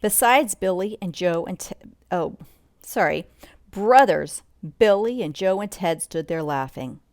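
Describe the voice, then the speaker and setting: A woman reading a children's bedtime story aloud in an expressive read-along style, sometimes commenting as she goes. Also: lowish voice, a little slow, no echo, clean studio sound. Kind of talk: bedtime story read-along narration with asides about pictures.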